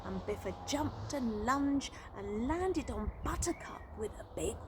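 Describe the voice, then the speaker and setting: Lady telling a kids story, slow and super lively pitch, noisy recording. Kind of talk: expressive children's story narration.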